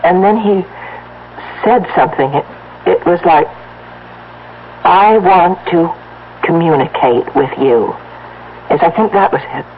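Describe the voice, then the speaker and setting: An actress in a radio drama playing a frightened, insistent wife, speaking in a halting, emphatic, earnest way. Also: female voice, fairly low pitch. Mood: shaken. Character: frightened, insistent wife who saw a faceless man. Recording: tinny, band-limited, noisy old radio broadcast.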